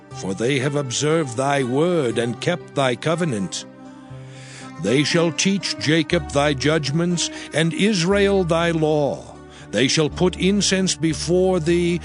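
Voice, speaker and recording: Man acting slow, solemn prophet Moses. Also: fairly high pitch. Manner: earnest, declamatory, deliberate. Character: Moses, solemn elder prophet blessing his people. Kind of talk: dramatized scripture reading. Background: faint background music.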